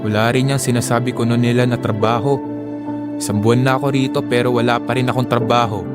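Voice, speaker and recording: A man's voice: mid-range voice, flat delivery, fast talker, close to an everyday voice. A man playing a disillusioned friend and neighbour in an audio drama, resigned and conversational, with music behind him. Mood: resigned, frustrated. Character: weary, disillusioned friend, jobless neighbour in resettlement housing. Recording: music under the voice.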